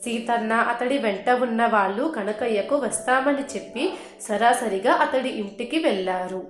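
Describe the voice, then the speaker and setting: A woman's voice: fairly high pitch, a little slow. A woman narrating a scripted moral folk tale as a storyteller. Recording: roomy echo, music underneath.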